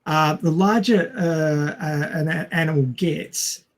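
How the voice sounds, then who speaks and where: A man's voice: on the high side, slow. A man co-hosting a podcast, explaining science informally in a didactic manner. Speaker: podcast co-host.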